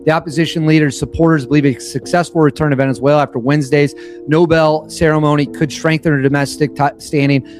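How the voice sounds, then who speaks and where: A slightly hoarse man giving opinionated news commentary, stressing and repeating his points and reading reports aloud. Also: a little high, steady tone, fast, a little mellow, faint music behind.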